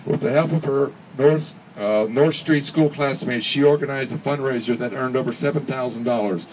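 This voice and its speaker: A man reading a prepared speech at a charity event in an earnest, measured way, occasionally stumbling over words. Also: mid-range voice. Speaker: speaker reading another person's speech. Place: charity event finish line, before a gathering. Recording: distant PA speech with background noise.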